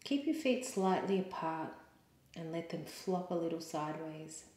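A woman yoga teacher leading a guided meditation in a calm, soothing, measured way with pauses. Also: deep voice. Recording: roomy, echoing sound.

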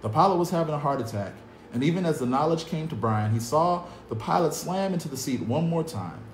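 A man's voice: a little high, animated, a little fast. A man in his thirties reading a novel aloud for kids, earnest and dramatic, recorded on a phone at a table indoors. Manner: earnest, dramatic, upbeat at the close. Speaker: youth club staff reading a novel aloud. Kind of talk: read-aloud storytelling for kids. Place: cinderblock room at a table, indoors. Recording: echoey bare room, faint hum.

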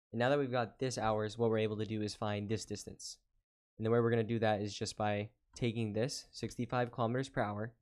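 A man working through a math word problem step by step on a whiteboard, explaining calmly with frequent "uh" fillers. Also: on the low side. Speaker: math tutor. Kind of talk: whiteboard lesson walkthrough.

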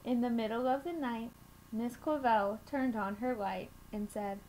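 High-voiced young woman reading slowly to children. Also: fairly even intonation, darkish tone. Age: young adult. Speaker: children's storytime reader at a school. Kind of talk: reading a picture book aloud to children. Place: small room at home, indoors. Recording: noisy home webcam recording.

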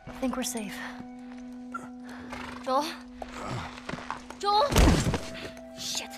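A woman's voice: high-pitched, big pitch swings, drawn-out words, music in the background, full character voice. A woman playing a wary, tough American teenage girl survivor in a video game, talking in a curt, guarded way. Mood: frightened, pleading. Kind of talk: naturalistic video game acting.

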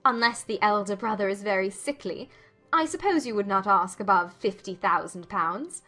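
An actress playing a spirited, teasing young Regency gentlewoman in a dramatized audiobook, with arch, formal phrasing. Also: medium pitch, normal speed. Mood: lively, arch. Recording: music behind the voice.

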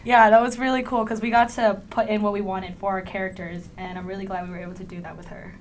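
A teenage young woman speaks at a middling pitch as a student actor in a casual group interview. Faint ambient noise sits behind her voice. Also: flat delivery, fast. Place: indoor panel, seated group. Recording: clear background room noise.

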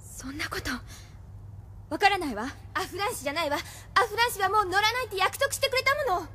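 A woman's voice: extremely high pitch, fast talker, bright, forceful tone. A woman playing a loyal, passionate young activist held captive in an audio drama, defiant and emotional. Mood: anxious. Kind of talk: anime-style audio drama acting.

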